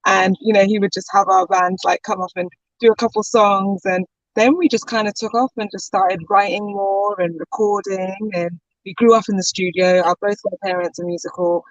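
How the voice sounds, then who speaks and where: A woman's voice: medium pitch, fairly even intonation, normal speed, no echo, lo-fi sound. A woman singer-songwriter chatting as an interview guest, talkative with lots of fillers and easy laughter. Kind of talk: casual interview chat.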